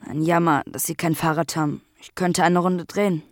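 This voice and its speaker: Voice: medium pitch, fairly even intonation, normal speed, dry recording, clean studio sound, lightly acted. A young performer playing a blunt, mistrustful twelve-year-old grandson in a German radio drama, speaking in a matter-of-fact, formal way. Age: child, about 12.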